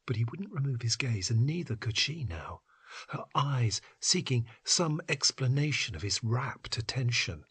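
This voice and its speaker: A man reading scripted literary fiction in a husky, breathy voice that often drops toward a whisper, with measured, dramatic phrasing. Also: medium pitch, sing-song, fairly bright tone. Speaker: narrator. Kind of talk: scripted literary story narration.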